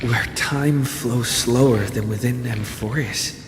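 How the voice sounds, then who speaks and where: An actor playing an earnest, worried young hero in a video game, musing aloud anxiously. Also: male voice, mid-range voice, slow pace, natural voice. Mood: worried, pondering. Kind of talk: scripted game dialogue. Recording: reverberant hall, clear background noise.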